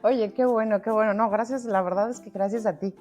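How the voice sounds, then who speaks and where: A woman's voice: medium pitch, brisk, faint music behind. A middle-aged woman from Mexico with a Mexican accent, talking freely as a guest on a podcast, often restarting her sentences. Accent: Mexican Spanish. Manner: lively, talkative, many restarts. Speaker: founder and guest. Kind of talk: podcast interview.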